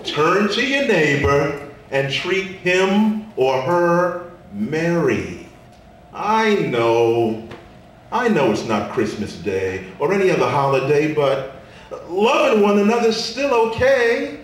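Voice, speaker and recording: A man performing spoken word poetry as a weary, sassy, folksy Statue of Liberty, preaching in dramatic rhymes with drawn-out words. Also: on the high side, very animated. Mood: sassy, preachy. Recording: echoey room, distant mic, faint noise.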